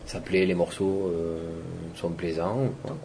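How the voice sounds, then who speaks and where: A man's voice: low-pitched, measured pace, a little mellow, slight background noise. A man from Toulouse, a DJ and producer, giving loose, rambling interview answers that trail off with fillers. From Toulouse, France. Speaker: electronic music DJ and producer.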